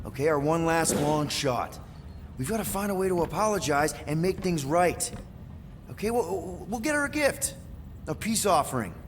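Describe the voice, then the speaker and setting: A man playing a scrappy, earnest leader of space adventurers in a video game, pleading and excitable in an informal way. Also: a little high, normal speed, a little hiss or hum. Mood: eager. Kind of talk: video game cutscene acting.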